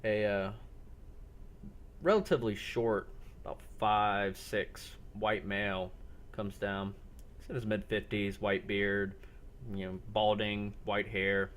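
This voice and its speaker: A man running a roleplay game as game master, improvising descriptions in halting, paused phrases. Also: lowish voice, little pitch movement, a bit bright, a little hiss or hum.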